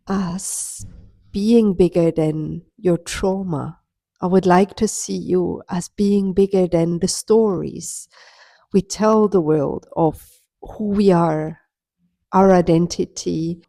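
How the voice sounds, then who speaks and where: A woman speaking as a spiritual healer, giving an earnest, soft-spoken coaching monologue straight to her listener. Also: on the low side, no echo. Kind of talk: reflective motivational monologue.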